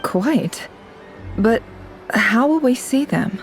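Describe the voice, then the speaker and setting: A woman's voice: fairly high pitch, lively intonation, slow, soft background music, poor audio. An actress playing a poised, elegant woman in a video game, with a refined, cool, understated manner. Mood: composed, curious. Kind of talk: video game cutscene voice acting.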